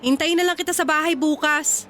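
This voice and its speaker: A woman's voice: sits high, fairly even intonation, a little fast, a bit bright, a little hiss or hum. An actress playing a sassy, jealous young single mother with a Filipino accent in a radio drama. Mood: sassy, taunting. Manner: taunting banter with sarcastic edge.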